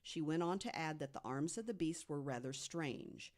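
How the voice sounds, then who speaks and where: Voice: mid-range voice, normal speed. A podcast panelist narrating a researched true story to co-hosts, reading from notes and correcting the odd slip. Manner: reads from notes, corrects slips.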